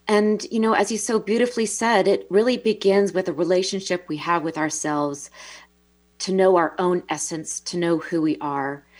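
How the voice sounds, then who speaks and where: A woman co-hosting a motivational talk, speaking in a flowing, reflective, encouraging way. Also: on the low side. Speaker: co-host.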